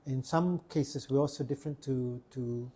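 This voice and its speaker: A Malaysian-accented man from Sarawak talks through a relaxed podcast conversation. His voice is husky and dark, and he often stumbles and repeats words as he gathers his thoughts. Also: mid-range voice, normal speed. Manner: hesitant, repeats words while searching for phrasing. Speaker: podcast host. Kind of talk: casual chat.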